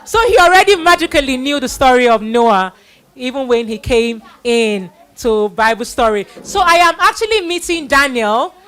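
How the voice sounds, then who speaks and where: A woman's voice: high-pitched, animated, normal speed, fairly bright tone. A middle-aged woman hosting a live children's Bible event, introducing and addressing people in an exhorting manner with repeated phrases.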